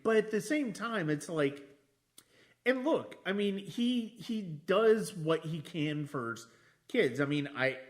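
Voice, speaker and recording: Man, fairly high pitch, slow pace, halting delivery, rephrases often, casual movie talk. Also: fairly bright tone. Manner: halting, circling back to rephrase. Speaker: film podcast host. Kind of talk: casual film discussion.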